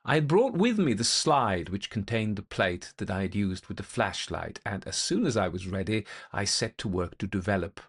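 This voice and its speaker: A man playing a gentleman investigator who recounts an eerie experience in a fairly low voice. He is methodical and intent, telling his ghost story in measured, formal sentences with deliberate, old-fashioned phrasing. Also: lively intonation, breathy edge. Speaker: narrator.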